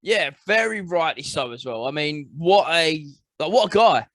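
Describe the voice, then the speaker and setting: A man's voice: on the high side, lively intonation, moderate pace, fairly bright tone, no echo. A man with an English accent co-hosting a gaming podcast over a video call, enthusiastic and repeating phrases for emphasis. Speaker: podcast co-host. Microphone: remote call mic.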